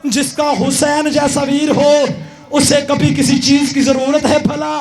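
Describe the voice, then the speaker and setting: A man's voice: very high voice, completely flat delivery, measured pace, gravelly, faint music behind, full character voice. A man voicing a grieving woman who pleads with her brother, wailing in half-song.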